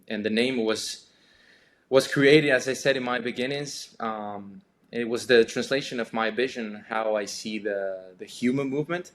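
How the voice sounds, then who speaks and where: A young man with an Argentine Spanish accent, a Spanish native speaker, answering podcast questions in a halting way with many repeats and fillers. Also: lowish voice, a bit bright. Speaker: podcast guest, sports scientist. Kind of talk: casual interview answers.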